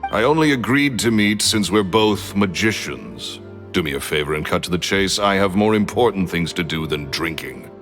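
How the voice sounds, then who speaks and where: An actor playing a short-tempered retired magician who talks in curt, blunt commands. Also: male voice, low voice, animated, normal speed, a bit bright. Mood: impatient. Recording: reverb with game music.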